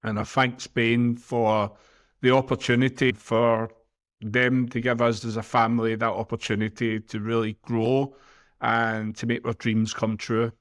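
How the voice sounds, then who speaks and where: A man's voice: medium pitch, little pitch movement, unhurried. A man from Glasgow, co-hosting a podcast and giving plain-spoken, practical advice. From Glasgow, Scotland. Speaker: podcast co-host. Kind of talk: casual podcast chat.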